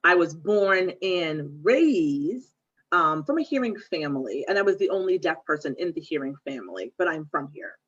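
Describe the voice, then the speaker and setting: A woman's voice: sits low, sing-song, normal speed, fairly bright tone, husky, lo-fi sound. A woman giving a brief self-introduction on a panel.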